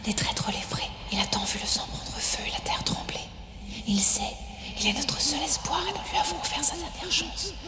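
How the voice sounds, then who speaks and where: Esprit féminin mourant, murmures dans une longue réverbération. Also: female voice, high voice, expressive. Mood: foreboding. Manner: grave, whispery, measured. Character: dying volcanic tree spirit, solemn, weary female guardian. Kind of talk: audio drama acting. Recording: long echoing reverb.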